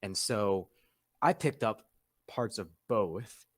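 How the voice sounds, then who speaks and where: A young man with a mixed Southern and Northern US accent, co-hosting a podcast in casual chat, animated and laughing often. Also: fairly low pitch, slow. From Jacksonville. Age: young adult. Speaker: podcast co-host.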